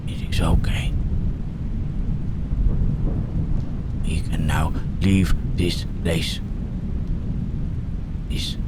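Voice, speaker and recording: A man playing a gentle, remorseful and protective huge monster, Frankenstein's creature, in a halting, hushed, soothing ASMR roleplay with formal phrasing. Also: very deep voice. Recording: loud rain and thunderstorm noise.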